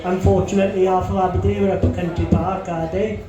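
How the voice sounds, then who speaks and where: A young man doing a stand-up set on a comedy club stage, with crude, deadpan punchlines and lots of swearing. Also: high voice, quick pace, heavy reverb, music in the background.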